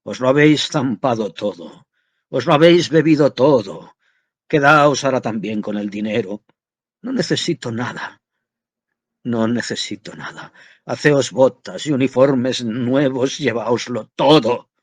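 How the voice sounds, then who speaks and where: Man putting on a shouting domestic tyrant, older father figure, loud rant. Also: mid-range voice, measured pace, husky, dry recording. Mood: furious. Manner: ranting, shouting.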